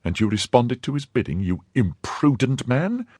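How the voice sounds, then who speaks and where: A man voicing an indignant young wife who scolds her husband, pushing his husky voice higher. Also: big pitch swings, moderate pace, mellow, no echo, studio quality. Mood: alarmed. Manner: scornful, pleading and scolding by turns. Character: young woman, indignant, fiercely loyal wife.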